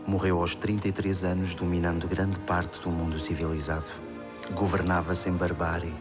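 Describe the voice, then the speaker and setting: Guy doing self-satisfied genius tycoon, very low even voice, formal, european portuguese, comic dub. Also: moderate pace, music in the background, close to an everyday voice. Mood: reflective. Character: serene, grandiose genius tycoon in comic drama.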